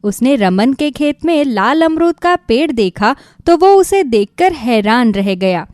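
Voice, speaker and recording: A woman narrating a scripted children's moral story in an expressive storytelling manner. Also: high voice, a little slow, no room sound, clean studio sound.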